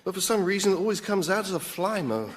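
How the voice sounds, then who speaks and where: A servile junior demon in a radio comedy, high-voiced and nervous. He pleads with hesitant pomposity, against loud background noise. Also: very animated, fast. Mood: eager, pleading. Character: inept, nervous underling demon, eager and servile. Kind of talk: studio radio comedy acting.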